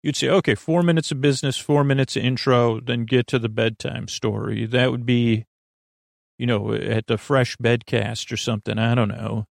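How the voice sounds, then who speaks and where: A man with a medium-pitched, creaky voice hosts a sleep podcast. He rambles without a script, halting, repeating words and drifting away from his point. The recording is dry, with very little room sound. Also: steady tone, no room sound. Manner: meandering, halting, repeats and trails off mid-thought. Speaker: podcast host.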